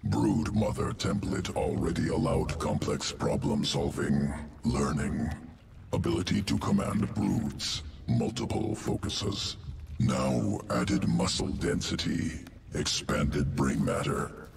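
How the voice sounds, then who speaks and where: A man's voice: animated, slow, darkish tone, echoey room, far from the mic, a little hiss or hum, heavily acted. A man voicing a clinical alien evolution-master creature in a video game, speaking in clipped phrases that drop small words. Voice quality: deep gravelly clipped speech, drops small words. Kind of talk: video game cutscene voice acting.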